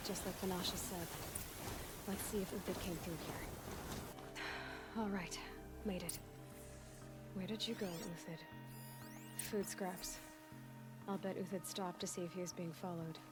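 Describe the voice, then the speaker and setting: A woman's voice: lowish voice, fairly even intonation, measured pace, pauses often, loud music behind the voice, natural voice. A woman playing a terse young huntress. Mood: determined. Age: young adult. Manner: direct, terse. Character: young female hunter, determined. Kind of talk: video game cutscene acting.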